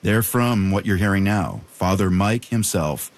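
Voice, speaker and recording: A man's voice: low voice, unhurried. A man hosting and narrating a news documentary from a prepared script. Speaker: radio documentary host.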